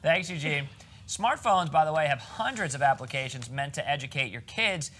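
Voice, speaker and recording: A male morning news anchor with a fairly high, very bright and forceful voice. He delivers introductions and studio banter in a conversational broadcast style. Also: lively intonation, moderate pace, slight background noise.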